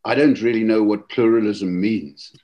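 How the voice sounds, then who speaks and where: A man leading a webinar discussion, speaking in a gentle, reflective and courteous way over a video call. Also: fairly low pitch, moderate pace, lo-fi sound. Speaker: webinar panelist and host.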